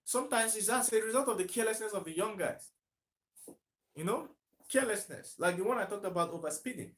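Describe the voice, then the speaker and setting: A man's voice: sits high, fairly quick, a bit bright. A Nigerian man talking on a live stream to viewers, emphatic, repeating himself and asking rhetorical questions. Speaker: livestreaming commentator.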